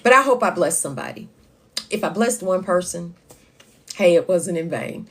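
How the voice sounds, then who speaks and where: A woman, hosting a casual live chat with a loud voice that carries and reading viewer comments aloud. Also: lowish voice, animated, moderate pace. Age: 50s. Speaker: livestream host.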